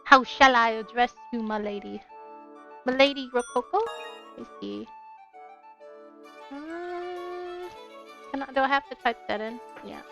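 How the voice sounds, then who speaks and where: A woman's voice: high voice, unhurried, long pauses, bright, forceful tone, highs cut off. A woman with a bubbly, playful manner, talking as a game streamer on a livestream. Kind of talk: casual livestream chat with read-aloud game narration. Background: game music.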